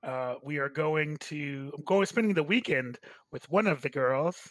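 A man hosting a staged reading, talking casually and haltingly. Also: on the high side, a bit bright, no room sound. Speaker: host of a staged game reading. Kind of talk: casual commentary and introductions.

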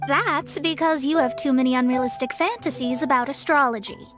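A woman's voice: sits high, brisk, music in the background, close to an everyday voice. A young woman playing a proud, self-important astrologer in a video game, speaking in a formal, theatrical, haughty manner. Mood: weary, proud. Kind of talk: English game dub acting.

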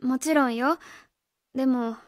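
A woman's voice: high voice, steady tone, measured pace, dry recording, clean studio sound. A woman playing an earnest, by-the-book schoolteacher in a radio drama, careful, polite and insistent. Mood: gentle, serious. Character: earnest, by-the-book female schoolteacher on home visit. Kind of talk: Japanese radio drama acting.

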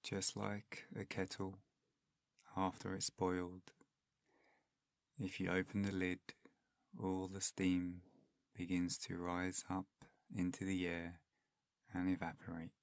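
A man with a deep voice leads a guided meditation very slowly, leaving long pauses between phrases. His delivery is soft-spoken and extremely even, almost flat in pitch. The sound is close and dry, with a soothing, unhurried manner. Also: creaky, no echo.

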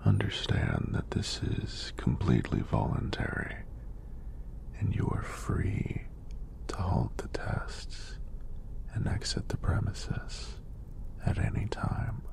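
A man playing a friendly, teasing EMT in a soft-spoken ASMR roleplay, with a deep, breathy, gravelly voice and an unhurried, reassuring manner. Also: wildly swooping pitch, heavily acted. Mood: calm, reassuring. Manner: unhurried, reassuring, lightly playful. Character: friendly, teasing young male EMT doing a screening.

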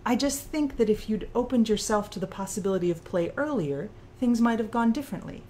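A woman playing a sharp, articulate female author who challenges and analyzes in audiobook dialogue. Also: medium pitch, expressive, moderate pace, a little hiss or hum, lightly acted. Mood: patient, analytical. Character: sharp, probing female author challenging her own creator.

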